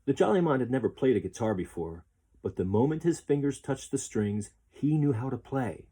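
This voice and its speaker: A man reading a children's picture book aloud as a storyteller, with expressive, deliberate phrasing. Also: medium pitch, breathy edge.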